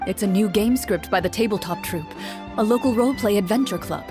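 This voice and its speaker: A woman's voice: medium pitch, a little fast, natural voice. A woman voicing a composed, earnest young woman in a video game, formal and matter-of-fact. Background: soft game music.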